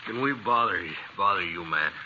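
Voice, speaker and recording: A man's voice: low-pitched, lively intonation, normal speed. An actor playing a weary, humbled homesteader father with a rural American accent in a 1950s radio western. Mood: humbled, hesitant. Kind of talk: 1950s radio drama acting. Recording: noisy, muffled 1950s radio recording.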